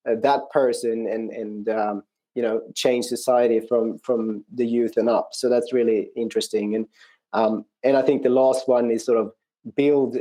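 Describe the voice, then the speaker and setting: Swedish guy chatting in an interview, soft mellow tone, thinks as he goes, lots of pauses. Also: mid-range voice, rough recording. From rural Sweden, outside Norrköping. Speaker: interview guest, non-profit country manager. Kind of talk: conversational personal account in an interview.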